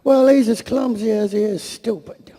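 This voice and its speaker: A man voicing a gentle, honey-loving cartoon bear who plays a polite, dithering villain in a comic live reading. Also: sits high, moderate pace, slight background noise, natural voice. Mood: mild menace. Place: on stage at a large convention hall. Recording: echoey convention hall.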